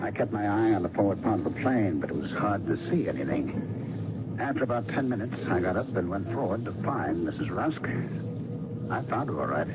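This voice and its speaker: A man's voice: on the low side, animated, normal speed, over loud music, lightly acted. An actor playing a weary, probing American police officer who narrates his case in an old-time radio drama. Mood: brooding, suspenseful. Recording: noisy, muffled vintage radio recording.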